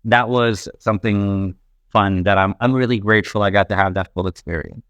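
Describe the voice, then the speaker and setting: A man's voice: lowish voice, fairly even intonation, a little fast, fairly bright tone, no echo, lo-fi sound. A young man from Pakistan, a stand-up comic guest chatting casually and rambling with lots of fillers. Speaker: podcast guest, stand-up comedian. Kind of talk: casual chat.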